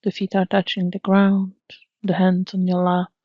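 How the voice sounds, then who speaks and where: A woman leading a guided mindfulness meditation in a gently instructive, unhurried manner with long pauses. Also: lowish voice, monotone, dry recording, dull audio.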